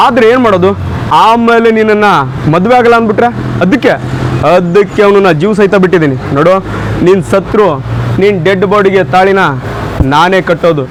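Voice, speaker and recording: A man's voice: sits high, brisk, long pauses, noisy background, natural voice. A man acting an obsessive, menacing lovestruck tough-guy hero, reciting emphatic film dialogue in short punchy phrases. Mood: menacing, possessive.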